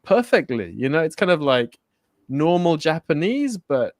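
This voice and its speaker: A man's voice: on the high side, big pitch swings, unhurried, no room sound. Easygoing man co-host, dry close sound. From born in Germany, raised in Spain. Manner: laughs often, self-correcting. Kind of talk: casual chat.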